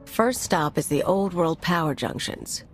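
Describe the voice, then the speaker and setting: Actress doing brash anarchist saboteur woman for a game, lowish voice, sarcastic and blunt, music behind. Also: unhurried, close to an everyday voice. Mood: brisk, cocky. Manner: sardonic, irreverent, blunt. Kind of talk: video game voice acting.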